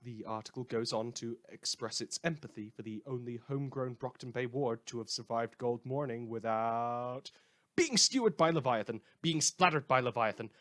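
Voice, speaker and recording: A man's voice: medium pitch, natural voice. A man playing a sardonic radio newsreader in a post-apocalyptic world, reading scripted satirical news with dry, rambling asides. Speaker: radio host.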